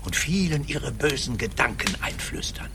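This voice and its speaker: An actor playing a friendly, earnest god who guides travellers in a radio drama, expressive and at times breathy. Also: male voice, mid-range voice, normal speed, background noise, natural voice. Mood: grave.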